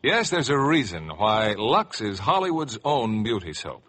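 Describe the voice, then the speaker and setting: A man with a husky voice, announcing from a script in 1950s radio style. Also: lowish voice, big pitch swings, unhurried, a bit bright. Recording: old radio broadcast with music behind.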